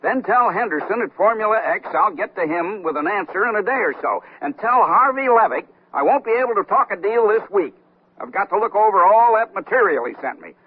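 An actor playing a busy, evasive, testy executive in a radio comedy, harried and defensive, with a raspy voice. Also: male voice, high-pitched, expressive, a little fast. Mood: brisk, harried. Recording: tinny narrow-band radio broadcast.

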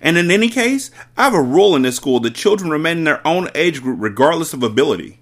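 A man reading aloud and voicing a huge, bullying headmistress who barks and shouts with contempt. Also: fairly high pitch, sing-song, fast talker, pitched above the performer's own voice. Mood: bellowing scornful. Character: bullying hulking female headmistress, furious.

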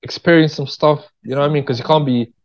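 A man's voice: a little high, steady tone, fast, dull audio. A man speaking as an interview guest in a casual, candid, slangy chat, often repeating phrases for emphasis. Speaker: interview guest, screenwriter and director.

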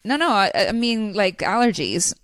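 A woman hosting a podcast in casual chat, quick and chatty with frequent laughs, a little stuffy today. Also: mid-range voice, sing-song, fairly bright tone, clear, resonant tone. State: slightly stuffy nose. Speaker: podcast host.

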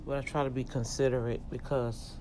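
A podcast host with a Louisiana accent who talks in a slow, deliberate teaching manner during a casual monologue. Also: mid-range voice, level tone, noisy background. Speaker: podcast host and tax office manager.

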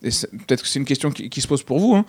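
A man's voice: medium pitch, very animated, racing, no echo. A man, a stand-up comedian, talking casually and hesitantly, with many restarts and fillers. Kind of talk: casual conversation.

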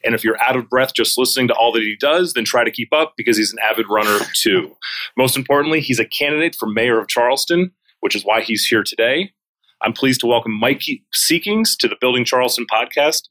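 A man's voice: medium pitch, lively intonation, brisk, sharp tone, rough recording. A man hosting a podcast interview from a campaign office, asking casual questions with plenty of fillers. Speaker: podcast host.

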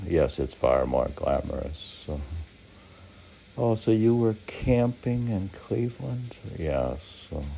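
Man, very low pitch, slow musing delivery, dark mellow tone, poet reading and commenting, faint background noise. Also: creaky, poor audio. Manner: musing, asks and answers own questions. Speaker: poet reading own work. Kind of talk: reading poems aloud with rambling off-the-cuff commentary.

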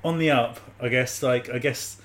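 A man's voice: mid-range voice, moderate pace, fairly bright tone. A man in his thirties, a guest on a podcast, talking in a laid-back casual way and laughing often. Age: 30s.

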